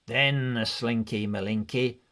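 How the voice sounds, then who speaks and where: A man narrating a children's read-along storybook in an expressive, deliberate way. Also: medium pitch. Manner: expressive, deliberate, measured delivery.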